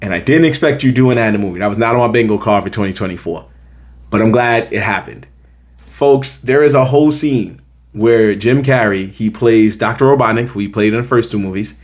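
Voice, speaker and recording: A man's voice: fairly low pitch, brisk. An American man hosting a film review, talking casually and emphatically, repeating his points to drive them home. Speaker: film review show host. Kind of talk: casual opinionated movie review to camera.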